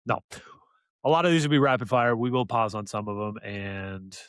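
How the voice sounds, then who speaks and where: A man's voice: lowish voice, moderate pace, fairly bright tone, smooth, no room sound. Joking man with dry, close sound. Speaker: podcast host. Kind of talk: casual podcast chat.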